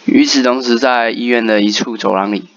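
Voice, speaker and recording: A man's voice: mid-range voice, fairly even intonation, brisk, dull audio. A man giving casual game commentary and reading story text aloud. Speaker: gaming video host. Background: game music.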